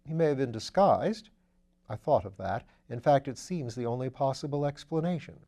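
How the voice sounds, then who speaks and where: A man reading a calm police inspector. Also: mid-range voice, vocal fry. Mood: matter-of-fact. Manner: calm, matter-of-fact, measured. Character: steady, methodical male police detective.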